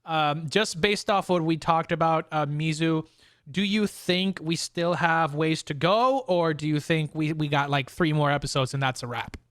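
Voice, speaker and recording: Bright, higher-voiced man hosting casually. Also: normal speed, no room sound, clean studio sound. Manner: animated, slangy, swearing. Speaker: podcast host. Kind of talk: casual anime review chat.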